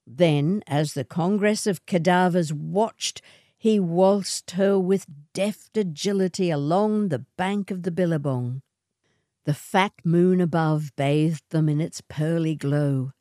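A woman reading a literary short story in a measured, atmospheric way. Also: on the low side, dark tone, dry recording, clean studio sound.

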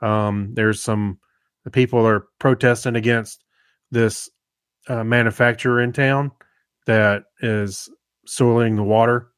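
A man co-hosting a podcast in casual, unscripted chat, rambling along in a laid-back, halting way. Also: mid-range voice, steady tone, fairly bright tone, clear, resonant tone, no echo, clean studio sound. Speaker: podcast co-host.

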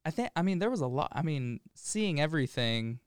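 A man's voice: on the high side, big pitch swings, fairly quick, clean tone, no echo, clean studio sound. A young man, a podcast guest, speaking in a casual, reflective way.

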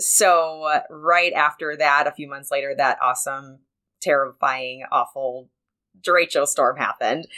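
Female podcast guest, thirties, bright tone, lowish pitch, upbeat small-business owner. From Peru, Illinois, United States. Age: 30s. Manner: upbeat, quick to laugh. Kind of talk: conversational interview answers. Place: studio in an office.

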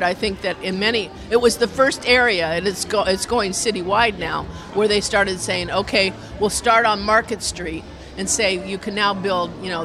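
Animated, rambling community radio organizer. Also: medium pitch. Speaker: community radio station organizer being interviewed. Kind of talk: casual spoken interview. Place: inside a brewery restaurant.

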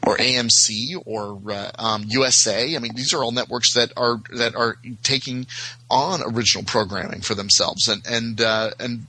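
A man chatting casually as a podcast guest, explaining things at length in a halting, wordy way. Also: medium pitch, pushed and bright, low-quality recording. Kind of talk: casual chat about TV shows.

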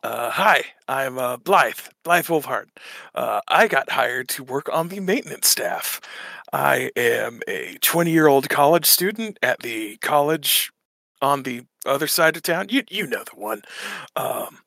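A man plays a hesitant young werewolf in a tabletop game, slow and full of ums. The voice is bright and gravelly, close and dry on the mic. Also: medium pitch, lively intonation, dry recording, full character voice. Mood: awkward. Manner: halting, hesitant with many fillers. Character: young male werewolf college student, awkward. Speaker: player character.

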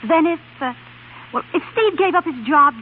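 An actress playing a devoted, eager young wife in a polite 1930s radio drama style. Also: female voice, very high-pitched, normal speed. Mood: earnest, hopeful. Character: bright, devoted young wife pleading her husband's case. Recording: muffled, noisy 1930s radio recording with hum.

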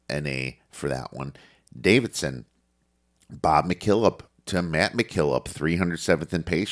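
A man with a head cold, sounding congested, going through a list of sports notes in a casual podcast with a steady reading delivery and some fillers. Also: a bass voice. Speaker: sports betting podcast host.

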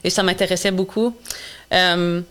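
A woman's voice: fairly low pitch, steady tone, moderate pace, fairly bright tone. A woman in her twenties whose first language is English, answering interview questions earnestly with frequent hesitations. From Quebec. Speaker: guest, charity founder.

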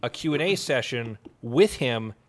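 A man chips in as co-host of a podcast. His pitch is middling for a man. The delivery is informal, everyday conversation. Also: expressive, measured pace. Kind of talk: casual chat.

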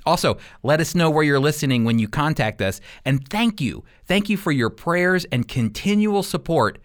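A man's voice: fairly high pitch, lively intonation, moderate pace. An American man teaching the Bible as a radio host, speaking earnestly and emphatically in a conversational preaching style. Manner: earnest, emphatic, rhetorical questions.

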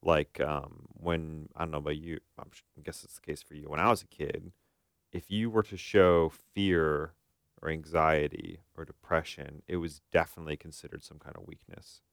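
A man's voice: rumbling low, steady tone, moderate pace, creaky, no room sound, studio quality. A man hosting a podcast in a casual conversational style, thinking aloud and often checking for agreement. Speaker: podcast host.

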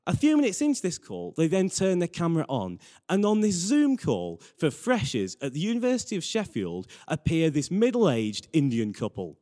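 A man's voice: on the high side, wildly swooping pitch, normal speed, few pauses, dark tone. A man preaching a sermon with personal anecdotes, earnest and repeating phrases for emphasis. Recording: reverberant hall.

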